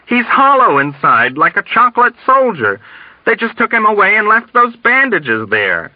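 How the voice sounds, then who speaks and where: A man voicing a crazed, frantic wounded soldier who shouts emphatically in an audiobook story. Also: high voice, wildly swooping pitch, moderate pace, dry recording, muffled recording, pitched above the performer's own voice. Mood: crazed, emphatic. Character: frantic wounded soldier Dunbar, crying out in horror.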